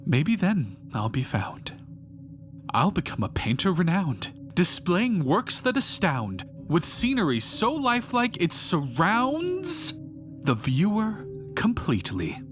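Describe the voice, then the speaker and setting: A man's voice: high voice, wildly swooping pitch, slow, exaggerated voice. A man performing a bitter, self-righteous failed painter turned fanatical vandal in an intense, rhyming dramatic monologue. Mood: grandiose, bitter. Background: music behind the voice.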